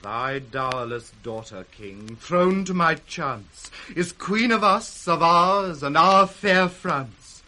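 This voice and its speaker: A man's voice: a little high, animated, slow pace, hardly any pauses, sharp tone, full character voice. An actor playing a noble, ardent young-hearted king in a 1960s classical radio drama, lofty and earnest. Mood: ardent, tender. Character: noble, ardent king of France, honourable suitor.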